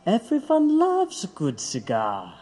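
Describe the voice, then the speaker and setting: An actor plays a commanding would-be martyr in an audio drama. He speaks slowly and imperiously with wide swings of pitch, in a reverberant space. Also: huge pitch swings, warm tone, heavily acted. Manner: imperious, deliberate. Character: commanding, defiant martyr prisoner. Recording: reverberant, faint music behind.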